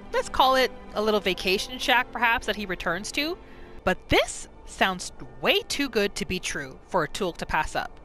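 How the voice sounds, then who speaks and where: A woman narrates her fantasy game roleplay like a casual storyteller, playful and expressive. Her bright voice swings widely in pitch, with faint music underneath. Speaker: gaming channel host.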